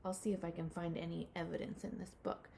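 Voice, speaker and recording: A woman's voice: lowish voice, level tone, fast, vocal fry, warm tone, a little hiss or hum. A woman speaking to young children as a teacher in a read-aloud lesson, addressing them directly.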